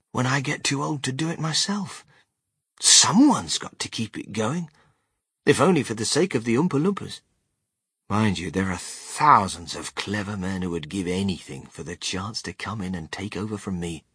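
Man acting out eccentric older factory owner, exuberant, theatrical, swooping pitch, husky edge, storybook character. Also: sing-song, normal speed, a bit bright, no echo, studio quality, heavily acted. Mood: wistful, confiding. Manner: theatrical, emphatic, with sudden swoops.

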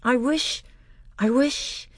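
A woman's voice: high voice, slow pace, no echo, clean studio sound, pitched above the performer's own voice. A woman voicing an eager little boy prince who babbles on breathlessly. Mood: wistful.

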